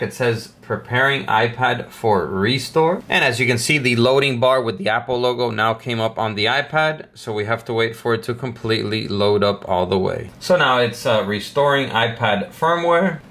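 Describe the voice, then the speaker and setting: A man hosting a tech tutorial, calmly explaining each step of a device reset with frequent fillers, recorded at a desk. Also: medium pitch. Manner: relaxed, patient, filler-heavy explaining. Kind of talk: step-by-step how-to walkthrough.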